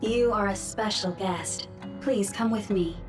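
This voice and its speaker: A woman playing a courteous temple attendant in an English game dub, speaking in a measured, deferential way. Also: mid-range voice, recorded from a distance, over music, natural voice. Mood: formal. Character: formal, courteous female temple attendant greeting guests.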